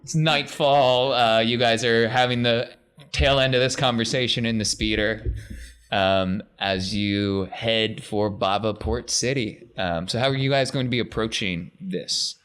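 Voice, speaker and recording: A man's voice: mid-range voice, steady tone, measured pace, fairly bright tone, clear, resonant tone. A man working as a game master, improvising scene narration and chatting casually with players.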